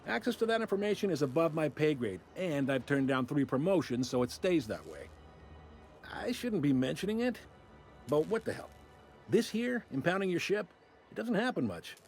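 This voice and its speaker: A wry customs officer on a video game space station. His folksy drawl is on the high side and very animated, droll and casually apologetic. Light music runs behind him. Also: normal speed. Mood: wry, confiding. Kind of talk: video game character acting.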